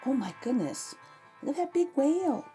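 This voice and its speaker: A woman reading a picture book aloud to children as an expressive storyteller, with exclaimed asides to her young listeners. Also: high-pitched, moderate pace, faint music behind. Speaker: children's read-aloud host.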